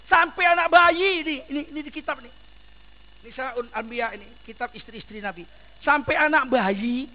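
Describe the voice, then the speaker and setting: High-voiced emphatic male preacher, dull recording. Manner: emphatic, fires rhetorical questions at the audience. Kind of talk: sermon. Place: large mosque.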